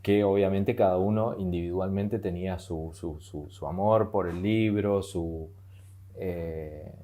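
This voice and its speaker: Low, dark-toned Argentine male co-host who hesitates and repeats himself often. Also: fairly even intonation, a little slow. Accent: Argentine Spanish. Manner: hesitant, many fillers and repeated words. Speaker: podcast co-host. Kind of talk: casual chat.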